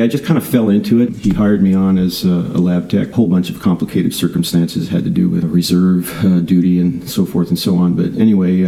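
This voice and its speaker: A man's voice: deep voice, vocal fry, mellow, low-quality recording. An older man, a retired scientist turned author, chatting in a rambling way with many fillers and restarts. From Southern California. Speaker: guest author. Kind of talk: casual interview chat.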